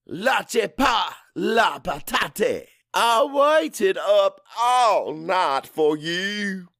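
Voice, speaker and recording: A man's voice: sits high, animated, extremely slow, gravelly, a bit bright, no room sound, clean studio sound, pitched above the performer's own voice, full character voice. A man voicing a brooding, resentful husband in an audiobook drama, with a strained, self-justifying manner. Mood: frustrated. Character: brooding, resentful husband grieving his father.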